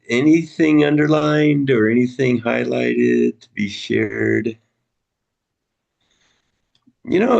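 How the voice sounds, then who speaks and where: Man, mid pitch, gravelly, easygoing discussion leader on a video call. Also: a little mellow, dull audio. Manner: friendly, chuckling, thinking aloud with restarts. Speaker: study group facilitator. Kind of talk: casual group discussion.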